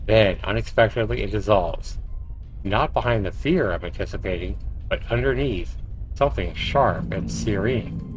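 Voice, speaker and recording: A man's voice: lowish voice, moderate pace, rough recording. A man livestreaming a visual novel, reading the narration aloud like a storyteller and dropping in short asides. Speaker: livestreamer, game player. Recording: faint music behind the voice. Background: faint game music.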